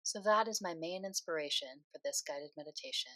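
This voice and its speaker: A woman leading a guided meditation in a soothing, unhurried way, speaking phrase by phrase with pauses. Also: low-pitched, lively intonation, bright, forceful tone, no echo, low-quality recording.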